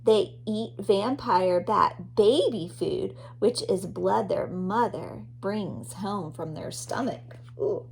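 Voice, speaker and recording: A woman's voice: mid-range voice, takes it slowly, creaky, hardly any pauses, a little mellow. A woman teacher reading a children's nonfiction book aloud expressively, addressing young listeners.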